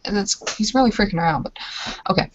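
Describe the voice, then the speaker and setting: A woman's voice: medium pitch, animated, fast, dull audio. A woman narrating her character's actions in a tabletop roleplaying game, informal and hesitant as she improvises.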